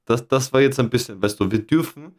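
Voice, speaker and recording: Teasing young male co-host, quick to cut in, mid-pitched. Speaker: podcast co-host. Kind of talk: casual chat.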